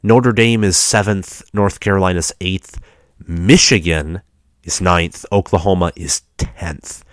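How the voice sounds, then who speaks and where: A man's voice: low-pitched, steady tone, slow pace, no echo, studio quality. A young man with a stuffy, congested voice, chatting casually as a fan about college football recruiting. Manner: chatty fan talk with restarts. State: congested from a cold. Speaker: sports vlogger.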